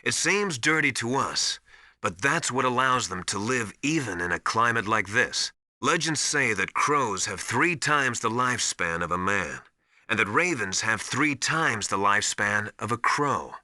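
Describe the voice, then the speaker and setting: Video game military advisor: male, authoritative teacher type, piercingly bright, dry close sound, urgent and emphatic. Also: medium pitch, lively intonation, measured pace, no room sound. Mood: instructive. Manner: didactic, urgent, emphatic instruction. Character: knowledgeable, commanding male military survival mentor called Master. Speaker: radio support advisor.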